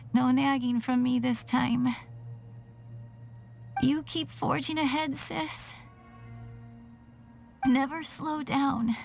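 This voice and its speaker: A woman voicing a gentle, forgiving younger sibling in a video game, speaking softly and tenderly. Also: high-pitched, creaky, exaggerated voice. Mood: tender, encouraging.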